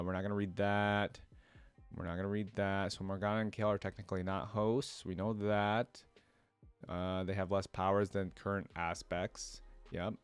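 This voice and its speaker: A man's voice: deep voice, clear, resonant tone, no echo. A man hosting a casual reaction video, reading reference text aloud and breaking off for informal commentary. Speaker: YouTube reaction host.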